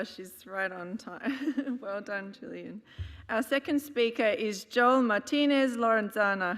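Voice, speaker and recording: Female session chair, friendly introductions, middle pitch, hall reverb. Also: fairly bright tone. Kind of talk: introducing speakers.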